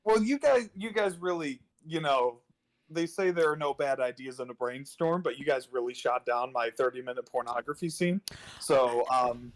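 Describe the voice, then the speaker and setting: A man joking on a panel in casual conversation, halting as he delivers mock-serious remarks. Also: mid-range voice, rough recording. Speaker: podcast panelist. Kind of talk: casual, joking group chat.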